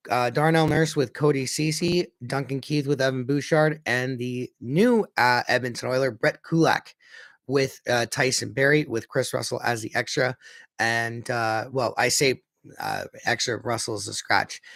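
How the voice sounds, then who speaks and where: A hoarse man with a raspy voice chatting casually as a hockey fan livestreamer, often correcting himself as he previews a game. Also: mid-range voice, a bit bright, no echo. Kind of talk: casual pregame preview chat.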